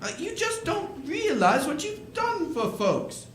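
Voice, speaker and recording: Sweet fussy old angel for a radio play, slow and stammery, roomy stage sound. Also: male voice, extremely high pitch, big pitch swings, a bit bright, slight background noise, full character voice. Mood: flustered, concerned. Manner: hesitant, stammering, chuckling, quaint politeness. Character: gentle, bumbling, eager old guardian angel, second class. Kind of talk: 1940s radio play acting. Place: indoor stage performance.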